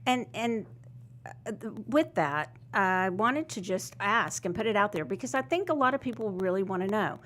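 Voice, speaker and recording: A woman's voice: medium pitch, lively intonation, normal speed. Chatty East Texas woman host. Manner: warm, chatty, hesitant, many restarts. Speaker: podcast host. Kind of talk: casual interview chat.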